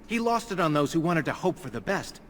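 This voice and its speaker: A man's voice: a little high, very animated, brisk, a bit bright, a little hiss or hum. An actor playing a worried, earnest young male elf in a fantasy video game. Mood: worried, urgent. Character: anxious young male elf, a loyal cousin.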